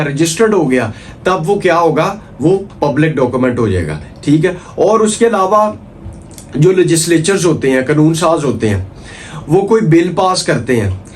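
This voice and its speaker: A man with a Pakistani accent giving an informal law lecture, explaining step by step with repetition, frequent check-in tags and English terms mixed in. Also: a little high. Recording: noticeable background noise.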